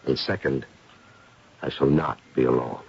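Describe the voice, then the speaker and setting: An actor playing a composed, inquisitive gentleman doctor who investigates hauntings in a 1970s radio drama, measured, deliberate and courteous. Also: rumbling low, fairly even intonation, gravelly. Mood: calm, probing. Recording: muffled, noisy vintage radio broadcast.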